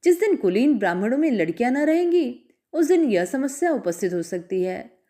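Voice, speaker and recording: A woman's voice: on the high side, big pitch swings, normal speed, warm tone. A woman voicing a proud, stern old Brahmin father who scolds harshly in a storybook reading. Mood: outraged.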